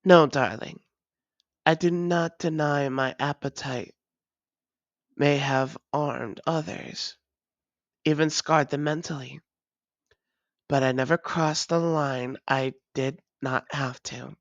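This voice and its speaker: An actor playing a weary, guarded vampire and long-lost lover, speaking in halting, formal phrases in an intimate roleplay audio drama. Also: mid-range voice, fairly even intonation, no echo, lo-fi sound. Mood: firm, measured. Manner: measured, halting, formal old-fashioned phrasing.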